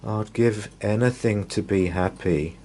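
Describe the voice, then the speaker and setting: A man voicing a miserable, gloomy little storybook character with a sad, squeaky, sniffy voice. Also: unhurried, darkish tone, a little hiss or hum, close to an everyday voice.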